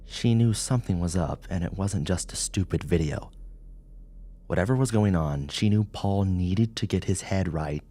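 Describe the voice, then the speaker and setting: A man reading a scripted horror story as an audiobook narrator, in a measured, dramatic way. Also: low-pitched, mellow.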